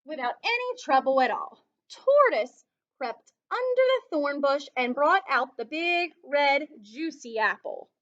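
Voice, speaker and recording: High, animated woman reading to children. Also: measured pace, dull audio. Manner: teacherly, addresses young listeners with questions. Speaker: storyteller reading a picture book aloud to children. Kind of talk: expressive children's read-aloud with asides and questions.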